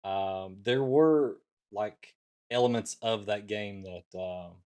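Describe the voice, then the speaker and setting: A man's voice: on the low side, slow, darkish tone, clean tone. A middle-aged man talking casually as co-host of a talk show. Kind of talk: casual chat.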